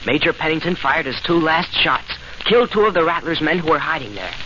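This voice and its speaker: Plucky kid hero telling his adventure, highish voice, hissy old radio. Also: expressive, brisk, pushed and bright. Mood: earnest, eager. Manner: earnest, informal storytelling. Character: plucky, eager boy adventurer recounting his exploits. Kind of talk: old-time radio serial narration. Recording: dull, noisy old radio recording.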